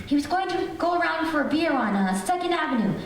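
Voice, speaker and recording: A woman's voice: sits high, lively intonation, fast talker. A woman playing an anxious, demanding invalid wife on the telephone in an old-time radio drama, imperious and fussy. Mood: agitated, insistent. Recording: distant echoey hall, faint noise.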